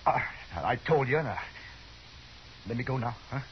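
A man's voice: medium pitch, expressive, normal speed, lightly acted. Actor as a cowardly, stammering western gunman. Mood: shaken, meek. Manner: stammering, evasive, panicky. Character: cowardly hired killer, nervous, pleading frontier man. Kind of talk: 1950s radio western acting. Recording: dull, faintly noisy 1950s radio recording.

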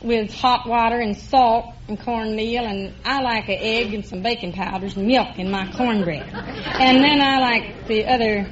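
A woman's voice: fairly high pitch, fairly even intonation, measured pace, a crowd in the background. A folksy, plain-spoken woman from rural Arkansas with a Southern American accent, chatting as a contestant on an old radio quiz show. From rural Arkansas, United States. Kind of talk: casual interview banter. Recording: muffled old radio recording, noisy audience.

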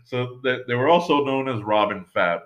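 A man's voice: mid-range voice, lively intonation, normal speed, fairly bright tone. A man in casual podcast chat who rambles, full of "you know" and restarts. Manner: rambling, many fillers and restarts. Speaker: podcast co-host. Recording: dull lo-fi sound.

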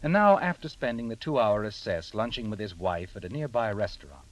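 An American actor playing the knowing narrator of an old-time radio crime mystery, telling it dramatically and deliberately. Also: male voice, on the low side, lively intonation, lightly acted, low-quality recording. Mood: knowing, suspenseful.

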